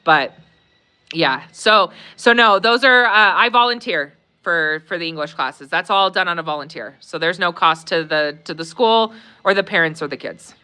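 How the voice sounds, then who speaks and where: A woman's voice: fairly low pitch, moderate pace, clear, resonant tone. A woman hosting a live Q&A stream, chatting casually with many fillers, laughing often and reading viewer comments aloud. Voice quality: warm, conversational, many fillers.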